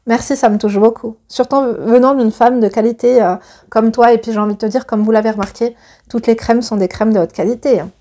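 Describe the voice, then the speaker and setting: A woman's voice: on the high side, steady tone, a little fast, mellow. A woman in her thirties chatting to her viewers and reading a letter aloud, gushing and full of fillers and restarts.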